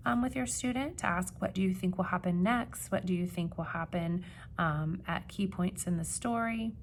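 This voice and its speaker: A woman teacher giving an expressive storybook read-aloud, with teaching asides and questions for young listeners. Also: medium pitch, vocal fry, slight background noise.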